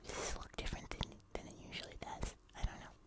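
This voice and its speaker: An ASMR creator whispering softly and unhurriedly in a breathy, unvoiced voice, chatting casually close to the microphone during a food tasting. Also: quick pace.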